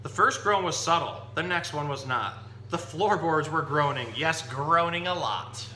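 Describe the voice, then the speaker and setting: A man's voice: a little high, a little slow, pushed and bright. A man giving an informal, explanatory animal lesson and reading a picture book aloud for children. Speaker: zookeeper presenting animals and reading stories to children. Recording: roomy echo with background noise and hum.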